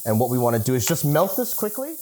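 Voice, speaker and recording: A man's voice: mid-range voice, fast talker, a little hiss or hum. Upbeat man hosting a cooking show. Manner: lively, playful, instructive. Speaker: chef and host.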